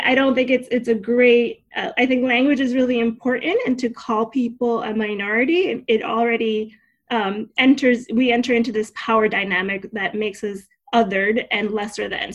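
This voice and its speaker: Brief: young adult woman from Cuba, raised in New Jersey, podcast guest, warm and talkative, slightly high voice. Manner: upbeat, talkative, laughs easily. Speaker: podcast guest, career coach. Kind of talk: casual interview chat.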